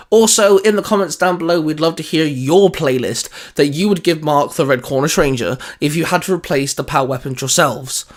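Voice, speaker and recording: A man hosting a casual, geeky discussion, explaining his ideas in a rambling way. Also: fairly high pitch. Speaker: host.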